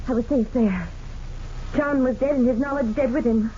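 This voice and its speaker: An actress playing a desperate, scheming young murderess, narrating intensely in a 1940s radio drama. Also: female voice, high voice, moderate pace, natural voice. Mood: cold, haunted. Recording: muffled old radio recording, loud noise.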